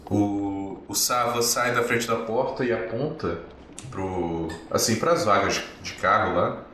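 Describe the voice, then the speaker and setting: A man's voice: on the low side, measured pace, sharp tone, a little hiss or hum. A man working as game master, narrating a tabletop scene in a casual, improvised style. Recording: echoey, distant, music behind.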